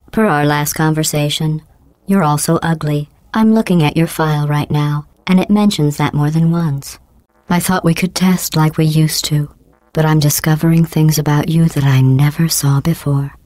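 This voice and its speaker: A woman voicing a cold, sarcastic female AI supercomputer in a video game, with a robotic vocal filter and a deadpan, passive-aggressive delivery. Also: low-pitched, dry recording. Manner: deadpan, passive-aggressive insults in a calm clipped cadence. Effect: robotic vocal processing.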